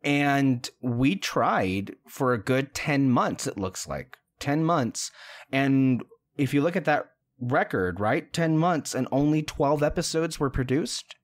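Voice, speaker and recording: Man with a lively, animated voice. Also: mid-range voice, slow pace. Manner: reflective, thinks aloud with restarts. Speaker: podcast co-host. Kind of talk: casual chat.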